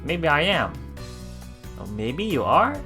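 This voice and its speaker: Female game streamer, extremely low pitch, lively delivery, reads story text aloud with casual playful commentary. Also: slow pace, faint music behind. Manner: playful, expressive. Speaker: let's play streamer.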